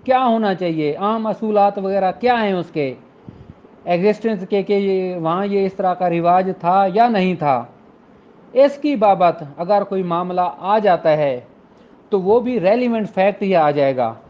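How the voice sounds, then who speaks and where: High-pitched Pakistani man lecturing. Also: animated, unhurried. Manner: didactic, explains with everyday examples. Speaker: law lecturer. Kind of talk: explanatory law lecture, reading out and explaining. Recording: dull, muffled lo-fi audio.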